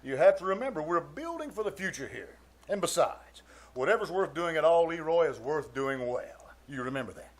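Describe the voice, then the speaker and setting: A male actor performs a middle-aged uncle from a 1940s radio comedy, pompous and blustery. His voice sits fairly high for a man and swings widely in pitch. He hems, exclaims and gets flustered with an air of great self-importance. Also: lively intonation, fairly quick, natural voice. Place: live stage performance in a theater.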